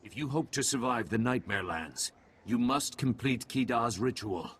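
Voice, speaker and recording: A man voicing a grave, calm alien mystic in a video game, giving terse, ominous pronouncements in a husky, creaky voice. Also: mid-range voice, moderate pace. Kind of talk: video game cutscene acting.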